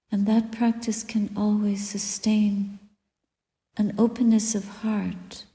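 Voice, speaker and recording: A woman guiding a meditation as a Buddhist teacher, speaking softly and deliberately in short phrases with long pauses and breathy endings. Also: medium pitch. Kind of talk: guided meditation, spoken dharma reflection. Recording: echoey room with long reverb.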